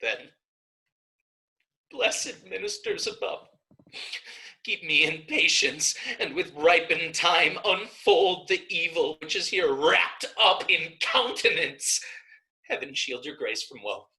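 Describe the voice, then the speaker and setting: A woman's voice: deep voice, animated, slow, sharp tone, full character voice. A woman playing a pious, anguished young petitioner in a Shakespeare reading, solemn and emphatic. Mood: bitter, resigned.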